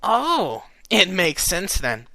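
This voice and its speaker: A man's voice: high-pitched, wildly swooping pitch, slow pace, gravelly, bright, forceful tone, dry recording, pitched above the performer's own voice, full character voice. A man voicing a brash, impish young female pegasus pony from a story, teasing in a casual, exclamatory way. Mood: smug teasing.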